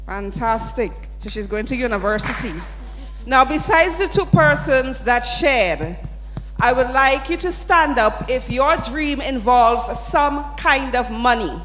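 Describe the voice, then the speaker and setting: A woman's voice: fairly high pitch, expressive, a little slow, a bit bright, faint music behind. A woman, speaking as a sponsor's representative and leading an audience through an interactive exercise in an upbeat, coaxing way. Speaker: sponsor representative presenting at a student event. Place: large hall. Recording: hall reverb.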